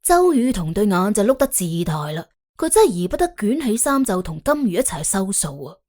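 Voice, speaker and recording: A woman narrating an audiobook in colloquial Cantonese, telling the story in an expressive, wry way. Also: mid-range voice, brisk. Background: faint background music.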